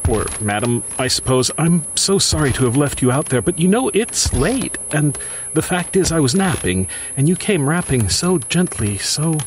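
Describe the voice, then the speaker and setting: An actor playing a grief-stricken, bookish widower who mutters anxiously to himself in a theatrical old-time radio horror monologue. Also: male voice, fairly high pitch, sing-song, moderate pace. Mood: frightened, calling out. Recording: music under the voice. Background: prominent music.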